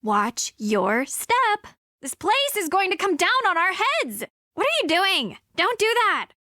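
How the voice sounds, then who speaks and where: Snappy, sarcastic young female adventurer, very high-pitched, forceful, game dialogue. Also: huge pitch swings, a little slow, exaggerated voice. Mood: alarmed warning.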